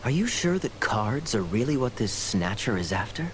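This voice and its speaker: A man's voice: medium pitch, very animated, normal speed, very noisy, natural voice. A man voicing a suave, sly, teasing young knight in an anime-style video game, with a knowing drawl. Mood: intrigued.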